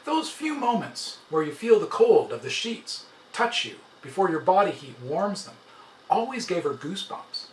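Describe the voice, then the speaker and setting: A man reading a short story aloud as a dramatic, expressive narration. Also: sits high, normal speed. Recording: roomy, slightly distant, faint noise.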